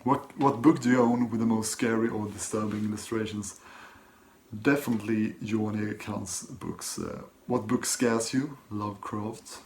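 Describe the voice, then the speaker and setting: A Swedish man with a Swedish accent, speaking English as his second language, who reads viewer questions aloud and answers them softly and hesitantly from his desk at home. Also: on the low side, moderate pace, slight background noise. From Sweden. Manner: soft-spoken, hesitant, many ums and restarts. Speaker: book channel host. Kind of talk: casual Q&A vlog, reading and answering questions.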